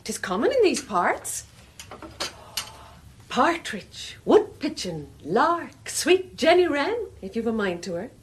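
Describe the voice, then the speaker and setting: An actress playing a teasing housewife who talks like an old-fashioned country woman in a radio drama, in deliberate archaic rural dialect. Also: high-pitched, big pitch swings, full character voice. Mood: teasing, coy.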